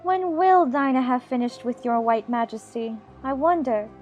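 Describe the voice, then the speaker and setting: A woman's voice: sits high, close to an everyday voice. A woman playing a chatty, imaginative little girl in an audiobook, fond and bossy, prattling on in playful scolding. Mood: fond, chiding. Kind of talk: dramatized audiobook character acting.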